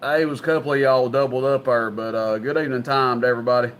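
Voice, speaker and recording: A man's voice: mid-range voice, fairly even intonation, fast, fairly bright tone, a little hiss or hum. A man with a Southern US accent, chatting casually and folksily as he hosts an evening on CB radio. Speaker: CB radio operator and livestream host. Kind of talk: casual chat over CB radio.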